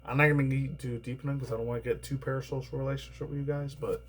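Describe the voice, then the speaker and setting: A man rambling through casual news commentary, swearing often and laughing between remarks, his voice slightly raspy and tired. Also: medium pitch, flat delivery, racing, a little hiss or hum. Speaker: commentary YouTuber.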